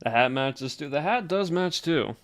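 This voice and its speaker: A man's voice: on the high side, expressive, moderate pace, a bit bright, no echo. A man streaming live and chatting casually with viewers in a put-on Southern accent, musing aloud in a loose drawl. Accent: put-on American Southern. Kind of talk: casual livestream chat with viewers.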